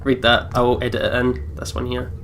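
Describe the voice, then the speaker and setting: A woman's voice: extremely low pitch, completely flat delivery, fairly quick. A woman giving casual, reactive commentary during a video game let's play. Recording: faint background noise and ambience.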